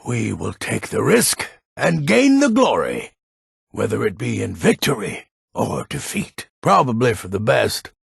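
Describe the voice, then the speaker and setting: A gruff old Nordic man from a fantasy game, proud and grumpy. His voice is very husky and takes its time, moving up and down with theatrical emphasis. The sound is close and dry. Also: mid-range voice, wildly swooping pitch, a little slow, no echo, heavily acted. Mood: gruff, resolute. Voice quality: gravelly, raspy. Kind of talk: video game character dialogue.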